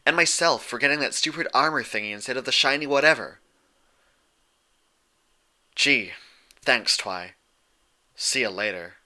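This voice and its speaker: A man's voice: medium pitch, lively intonation, a little slow, pauses often, bright, forceful tone, voice pushed up. A man voicing a brash, defensive young female pegasus pony in a storybook reading, blunt and slangy. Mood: sullen, sarcastic.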